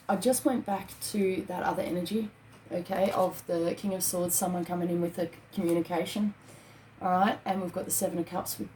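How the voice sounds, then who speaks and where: A woman's voice: lowish voice, warm tone, slight background noise, rough recording. A woman in her thirties giving a casual tarot reading to camera at home, filling her talk with "okay" and "um". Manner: chatty, repeats "okay" and "um" often. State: hoarse, losing her voice. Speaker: tarot card reader. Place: small home room with bookshelves.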